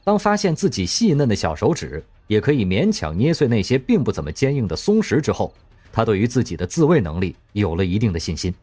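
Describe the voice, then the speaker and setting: Audio drama narrator: a man, lowish and breathy voice, expressive delivery. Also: brisk. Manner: expressive storytelling. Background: faint music.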